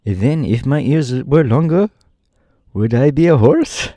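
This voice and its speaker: A man voicing a gentle, naive pumpkin-headed man in a storybook reading, in a mild, polite way. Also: medium pitch, lively intonation, unhurried, no echo, studio quality, pitched above the performer's own voice.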